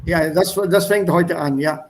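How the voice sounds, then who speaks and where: A male teacher on a video call with a high voice, talking slowly. He explains German words patiently and switches into English to make them clear. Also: slight background noise. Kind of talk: online language class.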